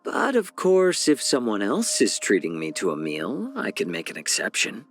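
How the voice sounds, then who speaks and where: An actor voicing a genial, sly fox-man healer and chef in a video game, speaking softly and smoothly in a measured, gently teasing way. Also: fairly low pitch, huge pitch swings, soft background music, heavily acted. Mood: wry, genial. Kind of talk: scripted game character voice lines.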